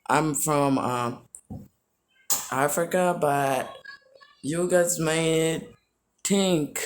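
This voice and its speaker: Slow, halting young man from Tanzania. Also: fairly high pitch, a little hiss or hum. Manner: rambling, halting. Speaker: vlogger. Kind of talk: casual chat to viewers.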